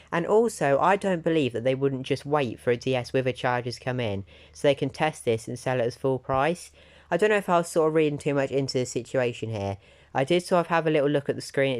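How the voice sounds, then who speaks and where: Croaky man, mid-range pitch, casual and upbeat vlog narrator with a sore throat. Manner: chatty and enthusiastic, repeats "really, really". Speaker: retro game collector vlogger.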